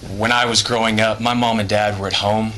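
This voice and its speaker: Formal witness guy from houston, bright voice, polite "yes sir" tone, faint hiss behind. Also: fairly low pitch, flat delivery. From Houston, Texas. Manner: formal and polite, measured, defends his point under questioning. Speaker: witness testifying to a committee. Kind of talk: formal hearing testimony. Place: legislative hearing room.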